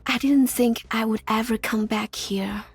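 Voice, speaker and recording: An actress playing a sweet, earnest young scientist in a video game. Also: female voice, on the high side, steady tone, unhurried, slightly rough, faint music behind, exaggerated voice. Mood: sad, hushed. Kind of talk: video game character acting.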